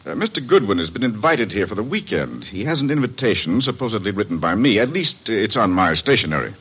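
An actor playing a flustered, fussy householder with a hesitant, formal manner in a classic radio mystery. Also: male voice, on the low side, very animated, fast talker, a bit bright, slightly rough, heavily acted, poor audio. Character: flustered, fussy male householder, husband and father. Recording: old radio drama recording.

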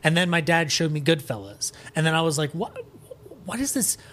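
Higher-voiced man talking with emphatic enthusiasm. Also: expressive, a bit bright, slight background noise. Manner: emphatic, enthusiastic, halting restarts mid-thought. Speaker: podcast guest. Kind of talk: casual opinionated chat about music and film.

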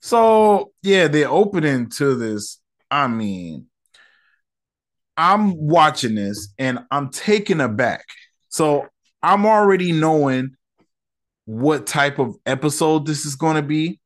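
A man hosting a solo podcast, reviewing an episode in a relaxed, chatty way with slang and lots of fillers. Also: on the high side, takes it slowly.

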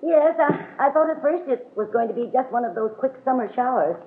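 A woman's voice: high voice, animated, fairly quick, a little hiss or hum. An actress playing a plain-spoken, kindly middle-aged farm woman in an old-time radio serial. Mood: calm, mildly puzzled. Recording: dull, muffled vintage radio recording.

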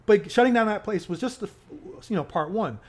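A man's voice: high voice, expressive, fairly quick. A man talking as a podcast guest in casual conversation, emphatic and rising in volume when he makes a point. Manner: emphatic, gets louder when making a point. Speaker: activist guest.

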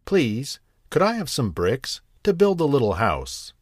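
A man's voice: medium pitch, huge pitch swings, unhurried, dry recording, clean studio sound, voice pushed up. A man voicing a sensible young pig in a storybook, with a polite, lifted voice. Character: third little pig, young male, sensible.

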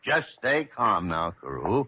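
Crusty, sarcastic doctor, swooping delivery. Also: male voice, fairly low pitch, big pitch swings, a little slow. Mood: dry, exasperated. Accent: American. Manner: dry, sarcastic, grumbling. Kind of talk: old-time radio comedy acting. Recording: noisy, muffled old radio broadcast.

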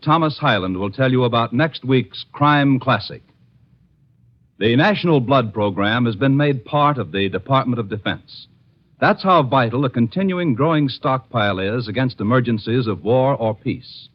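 Formal American male radio announcer, muffled vintage recording. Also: mid-range voice. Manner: formal, carefully enunciated.

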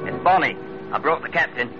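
A man's voice: fairly high pitch, lively intonation, brisk, over music, natural voice. An actor playing a cocky, wary young crook's henchman with a working-class British accent, slangy and sly, in classic radio drama. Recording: muffled, hissy old radio broadcast.

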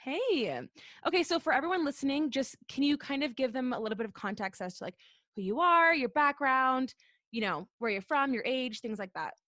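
A woman's voice: high-pitched, expressive, a little fast, bright, forceful tone, dry recording, studio quality. Bubbly young woman podcast host. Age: 20s. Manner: bubbly, upbeat, lots of fillers. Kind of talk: casual podcast interview.

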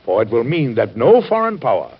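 A muffled old radio recording carries an American president in a 1940s drama. He is a warm, earnest statesman with a wry streak, speaking emphatically and theatrically at a middling pitch. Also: big pitch swings, fairly quick, breathy edge. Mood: proud, eager. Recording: dull vintage radio recording.

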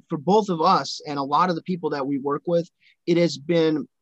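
Halting man host with a dull, dry sound. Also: fairly high pitch, no room sound. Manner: halting, many restarts and repeated words. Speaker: podcast host. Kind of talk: conversational interview.

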